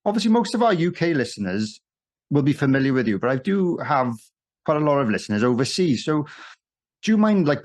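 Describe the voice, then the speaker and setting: A man's voice: on the high side, very animated, brisk, darkish tone. A man hosting a podcast, asking friendly, meandering questions with hesitant restarts. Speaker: podcast host. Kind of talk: casual interview chat.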